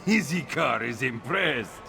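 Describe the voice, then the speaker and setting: A man's voice: mid-range voice, animated, slow pace, gravelly, bright, forceful tone, soft background music, exaggerated voice. A man playing a proud older cat-folk merchant uncle in a video game, speaking formally. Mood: impressed, proud. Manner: speaks of himself in third person, formal. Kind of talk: scripted game dialogue, AI-voiced.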